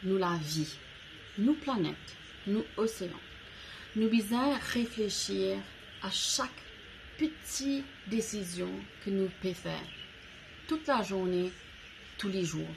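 A woman's voice: medium pitch, animated, creaky, noisy background. A woman in her forties, the founder of an eco-products platform, speaking to camera on a garden veranda. She talks in a language that is not her mother tongue and searches for words. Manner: slow and deliberate, with pauses between short phrases. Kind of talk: prepared short address to camera. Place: covered veranda outdoors, garden greenery behind.

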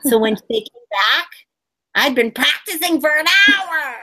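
A woman in her forties, a puppeteer, telling stories in a casual interview over a webcam video call from a room at home, acting out quotes with sudden high squeals. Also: animated, unhurried, sharp tone. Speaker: puppeteer guest.

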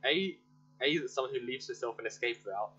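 A man's voice: mid-range voice, expressive, brisk, pushed and bright, slightly rough. A man giving casual playthrough commentary on a video game. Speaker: gaming video creator. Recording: dull lo-fi mic, faint game music. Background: faint game music.

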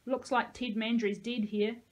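A woman's voice: fairly high pitch, little pitch movement, a little slow, lightly acted. A woman voicing a small, irritable old man who scolds and grumbles in an audiobook. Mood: startled, cursing. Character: tiny, grumpy, cursing old man, gruff but helpful. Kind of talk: audiobook character dialogue.

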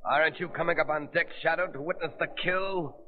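An actor playing a cruel, megalomaniac pirate submarine commander in a grandiose 1930s radio drama style, barking orders and gloating. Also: male voice, on the high side, steady tone, a little fast, a crowd in the background, natural voice. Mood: furious, defiant. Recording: muffled, noisy 1930s radio recording.

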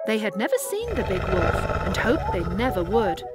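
A woman's voice: mid-range voice, huge pitch swings, moderate pace, over loud music. A woman expressively narrating a scripted children's story as a storyteller.

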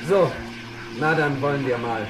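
An actor playing a matter-of-fact police sergeant in a radio play, clipped and dutiful. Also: male voice, mid-range voice, a little slow, over music, close to an everyday voice. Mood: businesslike. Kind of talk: German children's radio play acting. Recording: very noisy, vehicle sounds behind.

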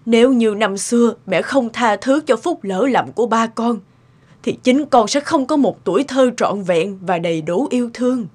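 Woman acting a husky, wise elderly mother. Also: a little high, moderate pace, darkish tone, natural voice. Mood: tender, reflective. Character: gentle, wise elderly mother counselling her daughter. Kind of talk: cartoon melodrama dubbing.

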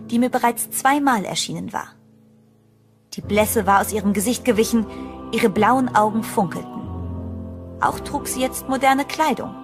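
A woman's voice: fairly high pitch, lightly acted. A woman narrating a German horror radio drama in the first person, as a tense, bewildered storyteller. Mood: tense, astonished. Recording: music under the narration.

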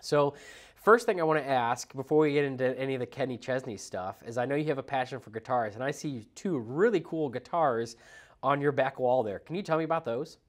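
A man's voice: mid-range voice, a little fast. A man in his thirties hosting a podcast, chatting casually with lots of fillers, a bit congested from a cold, recorded on a lapel mic in a small studio. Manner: chatty, filler-heavy, earnest. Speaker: podcast host. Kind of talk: casual interview chat. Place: small studio lounge set.

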